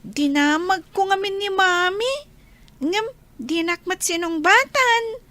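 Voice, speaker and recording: Woman doing a little kid in a filipino audio drama, super high voice, wistful, dry recording. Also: slow pace, sharp tone, no echo, full character voice. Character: young child, curious granddaughter missing an absent father.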